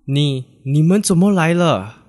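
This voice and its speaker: Radio drama cheating boyfriend acted by a man, young, evasive, slow delivery, hesitant, flustered. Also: fairly high pitch, animated, a little mellow. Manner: halting, hesitant.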